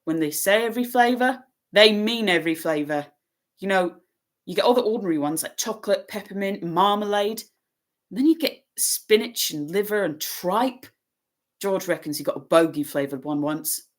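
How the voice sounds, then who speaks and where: A woman's voice: mid-range voice, moderate pace, voice pushed up, natural voice. A woman voicing a blunt, cheeky young schoolboy who talks offhandedly. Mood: offhand.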